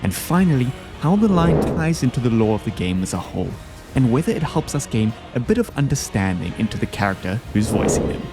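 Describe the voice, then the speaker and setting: A man delivers casual, opinionated video commentary with a lively, animated pitch. He talks in an informal, slangy way like a host sharing his rankings. Music plays faintly behind him. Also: fairly quick. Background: background music.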